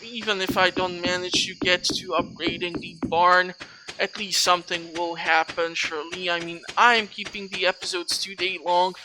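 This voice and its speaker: Loud game music plays behind a mid-pitched gamer's voice. The speaker hosts a let's play in a casual, rambling way, chatting along as if to themselves. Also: flat delivery, normal speed, low-quality recording. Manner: rambling, talking to self. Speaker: gaming video creator, host. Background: video game music.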